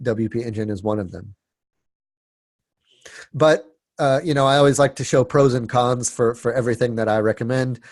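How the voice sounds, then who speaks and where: A man's voice: medium pitch, a little mellow, dry recording, clean studio sound. A man presenting a webinar, stuffy and sniffling from a cold, talking casually with many fillers and restarts. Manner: filler-heavy, frequent restarts and repeated words.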